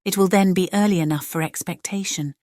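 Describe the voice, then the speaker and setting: Woman playing a composed, low-voiced young lady. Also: brisk, dark tone, no echo, studio quality, natural voice. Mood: guarded. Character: composed, sympathetic, sensible young gentlewoman. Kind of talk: dramatized audiobook character acting.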